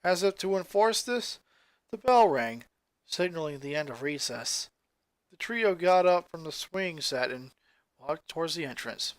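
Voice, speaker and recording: An expressive narrator reads a scripted story aloud. The voice is medium in pitch, and the recording is very dry and close. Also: a little slow, no room sound.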